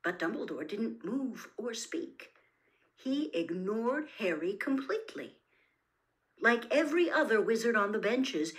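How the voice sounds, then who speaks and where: A woman narrating a storybook expressively and dramatically, dropping into hushed, suspenseful phrasing. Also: fairly high pitch, poor audio. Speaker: audiobook-style reader of stories.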